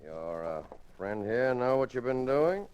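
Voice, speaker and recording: An actor playing a shrewd middle-aged police lieutenant who talks slowly and slyly, pausing with hesitant "uh"s, in old-time radio drama style. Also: male voice, medium pitch, sing-song, darkish tone, highs cut off. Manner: slow, sly, with hesitant "uh" pauses. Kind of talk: 1940s radio acting. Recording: old radio recording with faint hiss.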